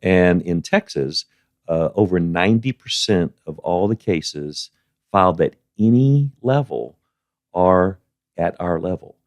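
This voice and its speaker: An older man giving conversational interview answers in a halting, plain-spoken way, repeating words as he goes. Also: low-pitched, lively intonation, no room sound. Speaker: guest, justice of the peace.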